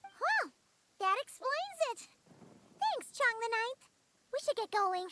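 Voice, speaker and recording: Squeaky tiny fairy companion. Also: female voice, sing-song, slow pace, sharp tone, heavily acted. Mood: curious. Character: tiny childlike floating fairy companion, perky and inquisitive. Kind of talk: English video game voice acting. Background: music.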